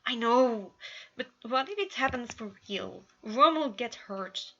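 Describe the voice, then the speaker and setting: A woman's voice: a little high, lively intonation, a little slow, fairly bright tone, muffled recording, a little hiss or hum, voice pushed up. A woman voicing an anxious, self-blaming young man in a story reading, worried and defensive. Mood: worried, distressed.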